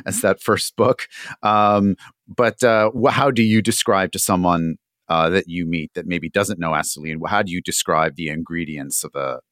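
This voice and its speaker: A man's voice: low voice, fairly even intonation, normal speed, a bit bright, no echo, studio quality. A man hosting a casual interview, putting rambling questions that restart and circle before they land. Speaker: interviewer, podcast host.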